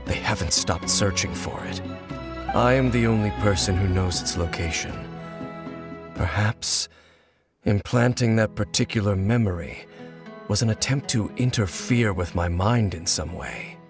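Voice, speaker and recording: An actor playing a grave, guarded male wanderer in a video game, speaking in a measured, solemn, formal way. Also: fairly low pitch, music in the background. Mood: solemn, deliberate.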